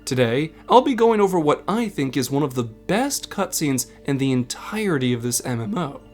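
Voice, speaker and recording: A man's voice: medium pitch, very animated, normal speed, soft background music. A man delivering scripted narration as the host of a video essay. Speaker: video essay narrator and game content creator.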